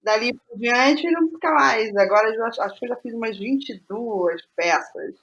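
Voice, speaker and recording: A middle-aged woman with a Rio de Janeiro Brazilian accent, telling her story casually as an interview guest, halting and repeating phrases for emphasis. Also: fairly high pitch, fairly bright tone, dull audio. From Rio de Janeiro state, Brazil.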